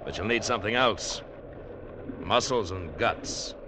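An actor playing a tough, gruff sailing-ship captain in an old radio drama, curt and wry in his delivery. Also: lowish voice, measured pace, lightly acted. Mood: wry, challenging. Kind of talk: 1940s-50s radio drama acting. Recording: very noisy vintage radio recording.

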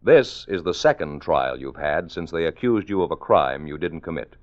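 A man's voice: a bass voice, sing-song, moderate pace. A male announcer giving grave, persuasive scripted narration that speaks straight to the listener. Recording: dull old radio broadcast.

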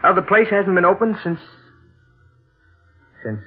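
An actor playing an evasive, guarded husband in a 1940s radio drama, with a polite, clipped manner. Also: male voice, high-pitched, unhurried. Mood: awkward. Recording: thin, tinny old radio recording.

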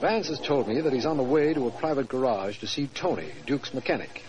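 An actor playing a businesslike district attorney, giving formal narration in a 1940s radio drama. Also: male voice, medium pitch, animated, fairly quick, darkish tone, lightly acted. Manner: formal, expository. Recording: noisy vintage 1940s radio recording.

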